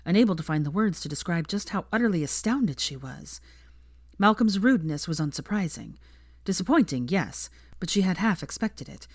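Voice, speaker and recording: Low-voiced woman narrating fiction. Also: no room sound, clean studio sound. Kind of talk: scripted audiobook narration.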